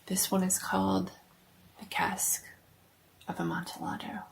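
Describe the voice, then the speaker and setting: A woman hosting a horror reading series, giving a measured, theatrical dramatic narration of a classic scary story. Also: medium pitch, level tone, gravelly, whispered.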